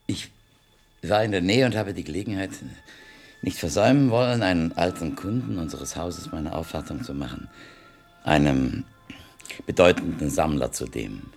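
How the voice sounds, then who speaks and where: A gravelly, deep-voiced art dealer. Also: male voice, a little mellow, soft background music. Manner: measured, thoughtful storytelling. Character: Berlin art dealer telling his visit, reflective. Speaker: narrator. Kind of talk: literary radio narration.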